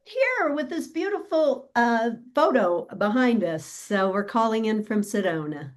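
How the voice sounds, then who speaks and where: A woman welcomes everyone to an online celebration over a video call. She talks very slowly with small hesitations, and her voice is slightly high. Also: big pitch swings. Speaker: co-founder and co-host. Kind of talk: welcoming event hosting.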